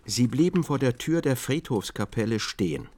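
A man reading scripted literary narration for a radio drama in a measured, precise way. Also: medium pitch, animated, a little mellow.

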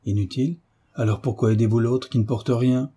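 A man's voice: medium pitch, steady tone, brisk, dark tone, breathy edge, natural voice. A man voicing a frank, stubborn thirteen-year-old schoolgirl with a dryly defiant manner in a storybook reading. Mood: cheeky.

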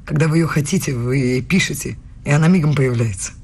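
Husky, challenging young woman. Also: low-pitched, fast talker, darkish tone. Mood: hurt, accusing. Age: young adult. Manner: pointed, challenging. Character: proud, wounded young woman student, professor's lover. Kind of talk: radio play acting.

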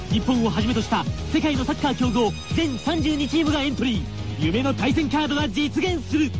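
Fast, very high-pitched male ad announcer. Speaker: commercial announcer. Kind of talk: scripted radio game ad read. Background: music.

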